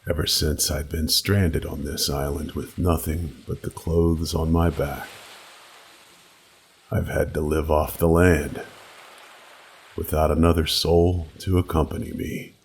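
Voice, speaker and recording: A man narrating a creepy first-person story in a slow, measured, brooding and dramatic way. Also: a bass voice, level tone, slightly rough. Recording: faint background noise.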